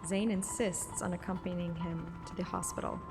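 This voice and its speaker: A woman's voice: on the low side, fairly even intonation, moderate pace. A woman reading a scripted first-person story like an audiobook, with music behind her voice. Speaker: narrator and streamer.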